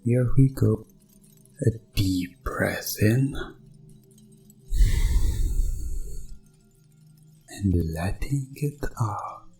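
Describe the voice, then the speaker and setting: A man acts out a sinister doctor in a hypnosis ASMR audio drama, with a mellow voice over music. He speaks extremely slowly, with deliberate pauses, soothing and coaxing while condescending to his subject. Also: on the low side, vocal fry.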